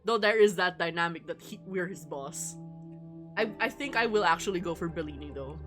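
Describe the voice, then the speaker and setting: A woman's voice: on the low side, brisk, fairly bright tone. A woman livestreaming a visual novel, chatting casually in a wry, rambling way and reading game text aloud. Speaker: streamer playing a visual novel. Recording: music behind the voice. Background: music.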